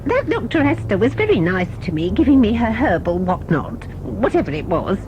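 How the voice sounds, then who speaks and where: A woman's voice: medium pitch, very animated, normal speed, lo-fi sound, background noise, close to an everyday voice. A woman playing a chatty, fussy, kindly old lady passenger in an audio drama, with a polite, rambling manner.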